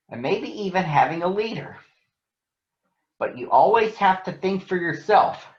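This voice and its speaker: A folksy old-school farmer bear from a children's storybook, acted by a man reading aloud. He gives wise advice emphatically, with a lively, swooping pitch. The sound is dull and roomy. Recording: dull, echoey room.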